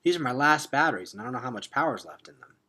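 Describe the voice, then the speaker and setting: A man's voice: medium pitch, lively intonation, racing, bright, forceful tone. Man reading as an uncertain young boy hero. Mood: worried. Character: anxious young boy hero on an underground quest.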